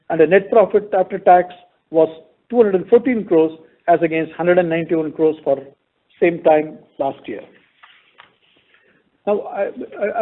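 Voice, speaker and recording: A man with an Indian accent gives a formal, businesslike earnings call presentation as a finance executive, methodically reading out figures. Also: sits high. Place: conference call. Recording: tinny narrow phone conference line.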